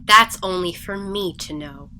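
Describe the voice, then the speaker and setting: An actress playing a stern, secretive and impatient alien treasure hunter in an audio drama, speaking in a curt, commanding manner. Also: female voice, medium pitch, measured pace, very noisy, natural voice. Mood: smug, determined.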